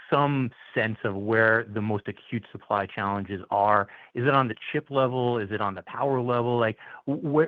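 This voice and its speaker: Male financial analyst, lowish voice, unscripted questions, dry and slightly muffled conference line. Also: no room sound. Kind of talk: spontaneous earnings-call questioning.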